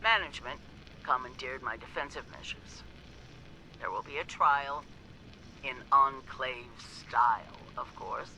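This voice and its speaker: An actress playing an arrogant, ruthless, zealous scientist in a video game audio log, with theatrical, deliberate enunciation. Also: female voice, very deep voice, bright, forceful tone, slight background noise, exaggerated voice, poor audio. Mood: defiant, indignant.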